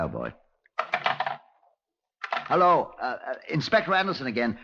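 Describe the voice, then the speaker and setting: An actor playing a dry, authoritative middle-aged Scotland Yard inspector with a British accent in a 1950s radio drama. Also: male voice, medium pitch, a bit bright. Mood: brisk, authoritative. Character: measured, wry, authoritative male Scotland Yard police inspector. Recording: dull, lo-fi 1950s radio recording.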